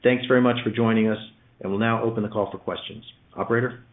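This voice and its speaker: A man's voice: fairly low pitch, fairly even intonation, phone-quality sound. Man reading formally on a roomy call line. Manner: formal, measured read-out delivery. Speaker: company executive presenting quarterly results. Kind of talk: scripted earnings call presentation. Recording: roomy, slightly band-limited conference call line.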